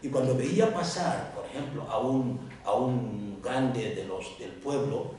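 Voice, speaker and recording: A man from Africa, a writer with another first language, speaking on a round-table panel in a lively way, with many restarts and repeated words. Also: mid-range voice, a little slow, a little hiss or hum. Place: lecture room. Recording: echoey hall, distant mic.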